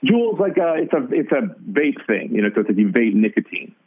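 Raspy man, musician guest, halting delivery with lots of restarts; tinny phone-line sound. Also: medium pitch, very animated. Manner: halting, full of restarts and fillers. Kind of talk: casual interview talk.

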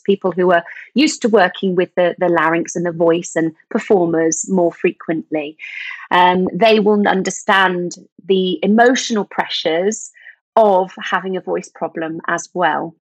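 Woman, vocal health expert guest, mid-pitched, dry close sound, informative with small restarts. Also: normal speed, dry recording, rough recording. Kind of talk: podcast interview, explanatory.

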